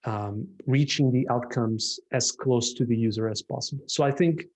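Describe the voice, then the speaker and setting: Portuguese-accented male designer, thoughtful, halting delivery, mid-range voice, casual chat. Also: mid-range voice, darkish tone. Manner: reflective, hesitant with restarts. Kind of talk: casual conversation.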